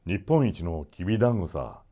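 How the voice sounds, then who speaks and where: A man's voice: low voice, very animated, brisk, warm tone, voice pushed down. A man voicing a brave, hearty young folk hero in a storybook reading, firm and confident. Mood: commanding, proud.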